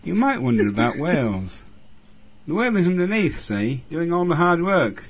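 A man's voice: on the high side, big pitch swings, normal speed. A man leaving a recorded message for a podcast, full of trivia and punning asides. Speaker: listener sending an audio message. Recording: noisy home recording.

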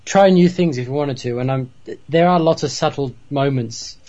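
A man co-hosting a fan podcast, musing and correcting details. His pitch sits mid-range for a man. The sound is dull and lo-fi. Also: moderate pace, mellow. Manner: pedantic, thoughtful. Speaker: podcast co-host. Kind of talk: casual chat about a TV show.

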